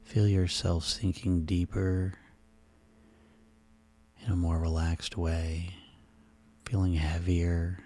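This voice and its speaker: A man leading a guided sleep hypnosis session in a soothing, hushed, instructional way. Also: deep voice, little pitch movement, slow pace, pauses often.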